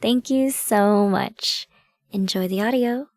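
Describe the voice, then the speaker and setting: A woman's voice: mid-range voice, slow pace, slight background noise. A woman who makes ASMR audio, giving a scripted greeting to the listeners of the channel.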